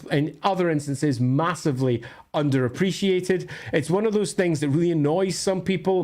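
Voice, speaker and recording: A man's voice: fairly high pitch, darkish tone. A Scottish man hosting a casual livestream, thinking aloud as he answers questions in a discursive, opinionated way with colloquial asides. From northern Scotland.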